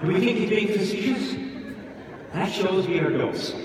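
Man acting out a fierce, loud preaching priest. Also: sits high, normal speed, pitched above the performer's own voice, lightly acted. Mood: fierce, urgent. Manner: fiery, hectoring, rhetorical questions. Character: intense, scary Ukrainian priest preaching about death. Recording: echoey hall from far off, faint noise.

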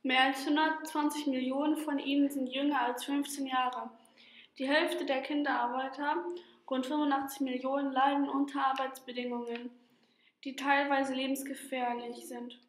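Schoolgirl narrator, high flat read, echoey room with slight hiss. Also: normal speed. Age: teenager. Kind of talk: amateur school animation voice-over.

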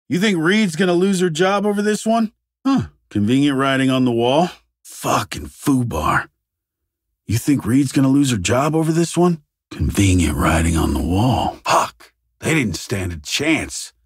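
Casting: a jaded tactical cop for a shooter game, husky and rough, casual wisecracks, lively pitch movement, very dry recording. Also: male voice, dry recording. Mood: wry, uneasy. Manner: casual, muttered wisecracks with swearing. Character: jaded male police SWAT officer, wry and foul-mouthed. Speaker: video game squad member. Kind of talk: video game character barks.

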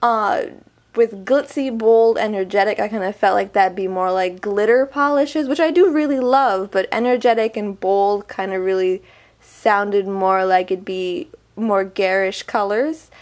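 A woman's voice: mid-range voice, unhurried. A woman vlogger chatting casually through an unboxing review, her voice a little weak from a summer cold, full of ums and likes. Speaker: beauty vlogger.

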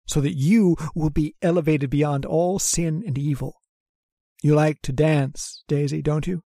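A man's voice: medium pitch, vocal fry, breathy edge, dry recording, studio quality. A man playing a mad, pious murderer who preaches scripture with menace in an old-time radio horror drama. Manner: preachy, hushed and menacing, swelling to ranting. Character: mad murderous male lodger, pious, scripture-quoting zealot.

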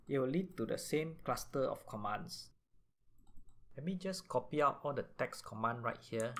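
A man hosting a software tutorial, speaking in an instructional way and signposting each step as he narrates. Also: medium pitch. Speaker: tutorial host.

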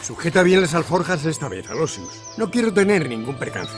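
Fussy rich master giving orders, highish very animated voice, music behind. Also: male voice, brisk. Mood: commanding. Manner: commanding, fussy. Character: brisk, commanding rich gentleman master.

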